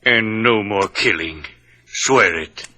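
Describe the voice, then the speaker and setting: Subdued low-voiced husband, noisy recording. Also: male voice, slow, bright, forceful tone. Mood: troubled. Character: subdued, obedient husband and reluctant murder accomplice. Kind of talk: 1940s-50s radio drama acting. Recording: noisy old radio recording with clicks.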